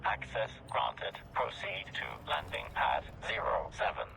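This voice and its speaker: A man's voice: on the low side, very animated, measured pace, vocal fry, bright, forceful tone, full character voice. A man voicing a formal space station traffic controller in a video game, making procedural announcements. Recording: noisy radio-like game audio.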